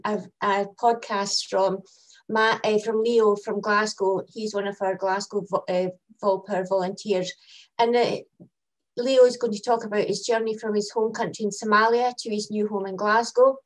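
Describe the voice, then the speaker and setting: A woman curator introduces the next part of an online launch event. Her voice sits at a middle pitch. She is hesitant, often restarting her sentences as she reads through her notes. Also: low-quality recording. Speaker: exhibition curator.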